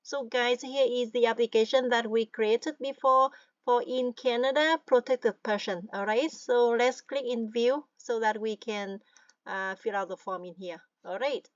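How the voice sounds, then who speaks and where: A woman's voice: on the high side, unhurried, no echo, lo-fi sound. A woman with a Vietnamese accent, a native Vietnamese speaker, talking through an online form step by step as a tutorial presenter, often checking in with "all right?" and "guys". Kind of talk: screen-recorded form walkthrough.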